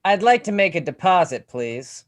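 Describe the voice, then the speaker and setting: A man's voice: high voice, big pitch swings, moderate pace. A man acting an eager, obsessive aspiring screenwriter in a scripted table read. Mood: polite, baffled. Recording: loud music under the voice. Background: loud instrumental music.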